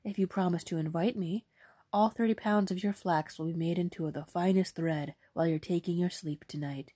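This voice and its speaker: A woman voicing a small old fairy woman who bargains slowly and coaxingly, slipping into hushed whispers. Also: lowish voice, steady tone, breathy edge, dry recording, studio quality.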